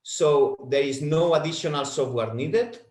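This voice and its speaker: A man whose first language is Spanish, presenting a product webinar from home in halting, self-correcting English. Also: a little high, a little mellow, roomy sound.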